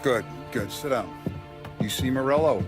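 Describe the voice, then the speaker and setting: An actor playing a calm, authoritative mafia boss who lays down rules in a measured, lecturing way, in a game cutscene. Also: male voice, medium pitch, close to an everyday voice. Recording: faint music behind.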